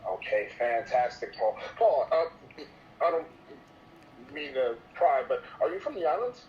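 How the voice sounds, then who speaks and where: A man from New York practicing a sales call as a real estate agent, polite and a bit halting. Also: mid-range voice, sing-song. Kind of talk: role-play sales call. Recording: dull muffled sound with faint noise.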